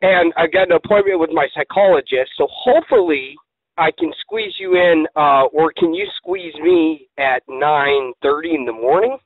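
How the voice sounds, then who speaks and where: A man playing an eccentric, muddled, easily excited prank caller who rambles and repeats himself in grandiose words over the phone. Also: on the high side, normal speed, dry recording, lightly acted. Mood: flustered. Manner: rambling, repetitive, theatrical, grandiose wording. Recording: tinny lo-fi phone call.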